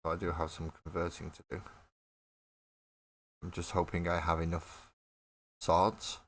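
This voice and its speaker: Guy with a deep voice rambling to his stream while building minis, coughing a bit. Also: level tone, measured pace. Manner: chatty, laughs often, talks to viewers in chat. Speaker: mini painting livestream host. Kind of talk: casual chat while building models.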